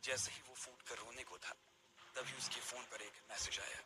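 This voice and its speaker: Man narrating an audio story, normal middle pitch, scripted read. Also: fairly even intonation, moderate pace, over loud music.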